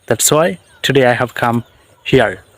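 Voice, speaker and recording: Young Bangladeshi man, English teacher type, very slow, halting delivery, talking outside with crickets behind him. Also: mid-range voice, fairly bright tone. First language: Bengali. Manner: halting short phrases with pauses. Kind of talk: slow unscripted English lesson. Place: outdoors in the countryside.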